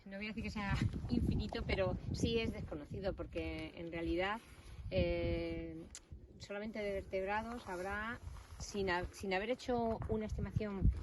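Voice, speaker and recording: A woman's voice: mid-range voice, steady tone, normal speed. A woman from Madrid, a naturalist guest, explaining things carefully and precisely outdoors. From Madrid, Spain. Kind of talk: explanatory interview talk. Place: outdoors in the countryside. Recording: distant mic, noisy outdoors.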